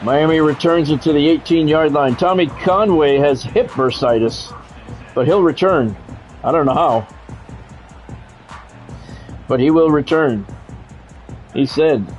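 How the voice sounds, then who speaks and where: A man's voice: a little high, a little slow, long pauses, faint music behind, low-quality recording. A middle-aged or older man giving live play-by-play of a football video game in short, clipped announcer phrases. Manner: announcer-like, short clipped phrases, excited calls on big plays.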